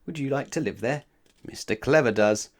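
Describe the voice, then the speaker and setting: A man narrating a children's picture book aloud, expressive and often addressing the young listener directly. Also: medium pitch, normal speed. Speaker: storybook narrator.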